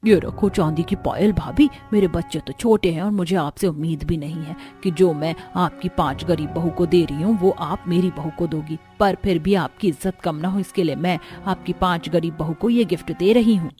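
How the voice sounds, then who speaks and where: A woman's voice: lowish voice, moderate pace, hardly any pauses, warm tone, lightly acted. Woman playing a snide female wedding guest. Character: pointed, boastful female wedding guest, a mother. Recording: music under the voice.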